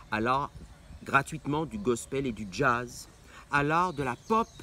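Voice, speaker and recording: A man's voice: medium pitch, bright, forceful tone. A middle-aged man from Martinique, an opera singer answering interview questions with passion, repeating phrases for emphasis. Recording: faint background noise.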